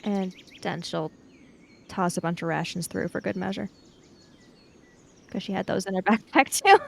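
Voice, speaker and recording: A woman's voice: mid-range voice, steady tone, normal speed, vocal fry, slight background noise. A woman improvising in a casual tabletop role-playing game, switching between speaking as her character and narrating, sometimes hesitantly.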